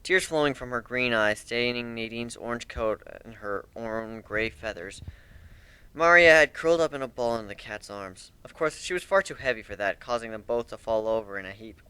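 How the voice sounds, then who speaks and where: A man giving an expressive dramatic reading of a first-person fantasy story as its narrator. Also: medium pitch, normal speed, clear, resonant tone.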